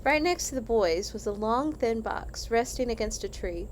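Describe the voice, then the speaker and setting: A woman reading a children's story aloud in an animated, expressive way, as in a read-along for kids. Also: fairly high pitch, normal speed, a little hiss or hum. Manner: animated, acts out dialogue.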